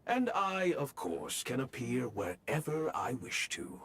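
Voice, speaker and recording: A man's voice: mid-range voice, big pitch swings, unhurried, fairly bright tone, slight background noise. An actor voicing a grandiose, courteous game spirit king with a pompous, formal, theatrical manner. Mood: amused, haughty. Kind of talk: game voice acting.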